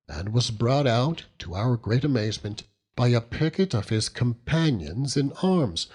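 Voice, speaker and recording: A man narrating a classic novel as an audiobook, in an expressive, dramatic storytelling manner. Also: medium pitch, slightly rough.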